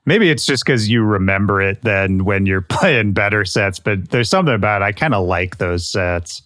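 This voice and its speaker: A man hosting a casual podcast interview, relaxed and agreeable, chiming in and laughing along with his guest. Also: on the low side, normal speed, no echo, studio quality. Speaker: podcast host.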